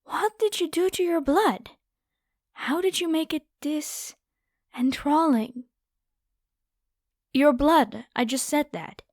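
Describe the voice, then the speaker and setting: A woman's voice: high voice, slow, pauses often, dry recording, clean studio sound. A woman playing a haughty, predatory noble vampire girl in an ASMR roleplay, with a taunting, playful, theatrical delivery. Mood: amazed, demanding.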